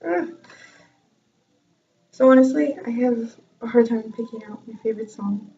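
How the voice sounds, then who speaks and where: A young woman tells a story in a chatty, self-mocking way and laughs easily. Her voice sits fairly high and sounds mellow. The recording is dull and lo-fi, and she sounds a little far from the mic. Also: a little slow, vocal fry, slight background noise. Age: young adult. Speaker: vlogger and music student. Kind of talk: casual storytelling vlog.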